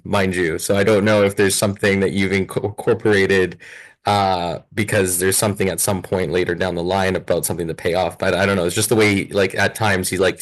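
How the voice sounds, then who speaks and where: Male, deep voice, informal rambling feedback, stop-start delivery. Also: poor audio. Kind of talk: casual feedback chat.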